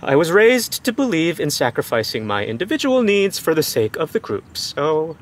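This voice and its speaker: A man acting in an audio play as an aggrieved, excitable adult son, indignant, slangy and dramatic. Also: on the high side, normal speed. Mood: exasperated.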